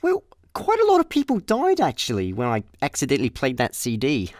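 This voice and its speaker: A man with a Scottish accent acting a laid-back, deadpan space layabout in a comic radio play. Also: medium pitch, fairly quick, dry recording, clean studio sound. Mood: matter-of-fact. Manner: deadpan, hesitant understatement. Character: laid-back, careless male space layabout, drily unbothered.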